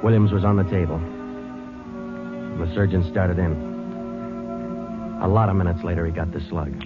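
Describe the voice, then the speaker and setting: An actor playing a calm, matter-of-fact American police detective in a radio crime drama, clipped and understated as he talks. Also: low voice, moderate pace, lightly acted. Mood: grave, measured. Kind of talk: 1950s radio crime drama acting and narration. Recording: muffled old-time radio, music underneath.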